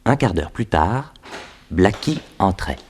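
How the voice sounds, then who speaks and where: A man's voice: sits low, big pitch swings, slow pace, a little mellow, slightly rough, heavily acted. A man narrating a 1960s French radio detective serial in a dramatic scripted storytelling style. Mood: measured, suspenseful.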